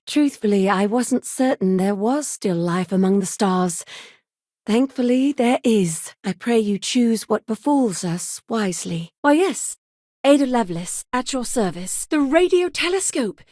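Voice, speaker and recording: A woman's voice: a little high, a little mellow, no room sound, studio quality. An actress playing a curious, courteous woman scientist in a science fiction video game, speaking earnestly in formal, old-fashioned phrasing. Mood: awed, earnest. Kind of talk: scripted video game dialogue.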